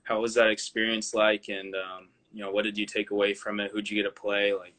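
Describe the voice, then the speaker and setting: A man's voice: lowish voice, completely flat delivery, a little fast, fairly bright tone. A male host asking interview questions over a video call, hesitant with many "um"s and "like"s. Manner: hesitant, many ums and likes.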